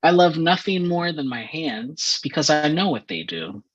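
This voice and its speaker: Male poetry reader, husky and unhurried, deliberate phrasing, on a slightly dull recording. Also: a little high, sing-song. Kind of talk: slow dramatic poetry reading. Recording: dull, lo-fi audio.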